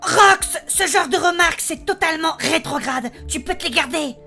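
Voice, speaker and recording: Woman as a very high-pitched earnest heroine. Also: normal speed, gravelly, bright, forceful tone, faint music behind, full character voice. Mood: firm. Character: earnest, assertive, romantic young flame-haired heroine. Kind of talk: French comedic fantasy audio drama acting.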